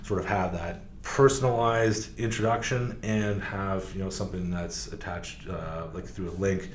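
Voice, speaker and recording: Rambling low-voiced man in echoey room. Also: steady tone, moderate pace. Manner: rambling, many fillers and restarts. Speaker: podcast guest, car sales professional. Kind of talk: casual interview talk. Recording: echoey room, faint background noise.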